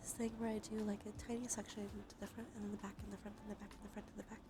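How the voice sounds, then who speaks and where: A woman livestream host speaking in a soft, breathy, often whispered voice, murmuring casually and unhurriedly to viewers in an ASMR tarot style. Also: mid-range voice, flat delivery, very fast, noisy background. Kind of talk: ASMR tarot reading stream.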